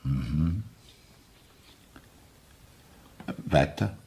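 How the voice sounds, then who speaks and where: An actor playing a composed, sceptical police inspector with a Viennese accent, questioning with measured authority in a crime radio drama. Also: male voice, a bass voice, animated, gravelly, dark tone, exaggerated voice. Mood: probing. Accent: Viennese Austrian.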